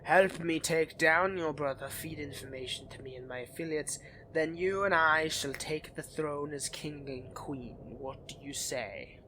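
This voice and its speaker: A man's voice: fairly high pitch, a little slow, bright, forceful tone, a little hiss or hum, poor audio. A man playing a suave, scheming vampire aristocrat in a dramatic roleplay addressed to the listener, with courtly, haughty, theatrical phrasing. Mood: scheming, seductive.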